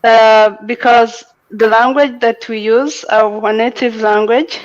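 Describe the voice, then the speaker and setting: A woman's voice: on the high side, fairly even intonation, takes it slowly, no room sound. A woman from Rwanda, a panel guest chatting casually on a video call with many fillers and restarts. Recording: dull lo-fi call audio.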